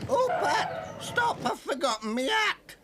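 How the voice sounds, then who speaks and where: A woman's voice: very high voice, sing-song, slow pace, heavy background noise, full character voice. A woman voicing a forgetful, friendly old granny in a children's cartoon, fussy and folksy, with a Northern English accent. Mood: flustered.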